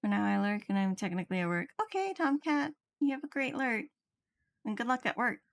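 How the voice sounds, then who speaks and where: A woman's voice: on the high side, expressive, a little fast, creaky, no room sound. A woman streamer giving casual, off-the-cuff commentary while playing a video game. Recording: game music behind.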